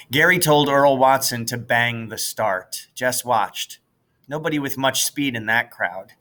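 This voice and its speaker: A man's voice: medium pitch, sharp tone. An American man reading a children's novel aloud as scripted narration. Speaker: audiobook reader, teacher.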